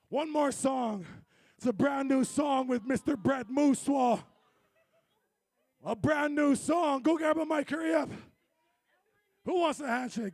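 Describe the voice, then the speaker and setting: A man performing on stage at a live community event, hoarse from losing his voice, calling out to the crowd on a handheld mic between songs. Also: extremely high pitch. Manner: energetic, calls to the crowd. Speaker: performer hosting a stage set. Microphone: handheld microphone.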